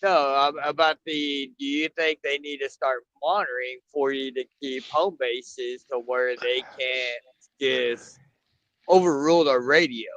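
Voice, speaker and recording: A man's voice: medium pitch, steady tone, unhurried, fairly bright tone, no room sound. A man on a live chat show asking a question hesitantly, with stops and fillers. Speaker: call-in guest. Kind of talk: casual chat. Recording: dull lo-fi call audio.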